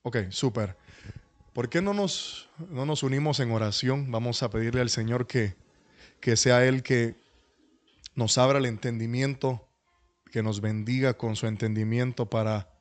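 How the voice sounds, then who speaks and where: A middle-aged man, speaking as a pastor in a humble, courteous and deliberate way to an online class. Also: medium pitch, steady tone. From Dominican Republic and New York. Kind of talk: introductory talk at an online church leadership class. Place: online video call.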